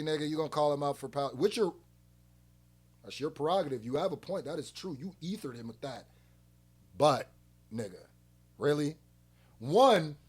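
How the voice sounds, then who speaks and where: A man giving casual, blunt and animated commentary as a music reaction host, full of slang and rhetorical questions. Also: on the high side.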